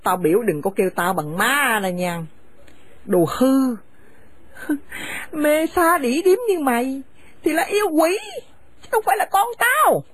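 An actress playing a furious, domineering mother-in-law who shouts insults at her son's wife. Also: high-pitched, expressive, very slow, hardly any pauses, slightly rough, no room sound, heavily acted. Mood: scolding. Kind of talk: radio drama acting.

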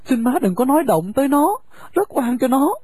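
A man's voice: very high-pitched, big pitch swings, normal speed, darkish tone, breathy edge, dry recording, heavily acted. An actor playing a young husband who earnestly pleads with his mother to spare his wife. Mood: pleading. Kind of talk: radio drama acting.

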